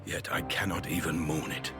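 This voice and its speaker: A man's voice: very deep voice, steady tone, normal speed. A man playing a grave, war-weary guardsman in a video game, speaking solemnly. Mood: mournful. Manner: grim, solemn, declarative. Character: grave, battle-weary male imperial guardsman. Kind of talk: video game cutscene acting. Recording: music behind the voice.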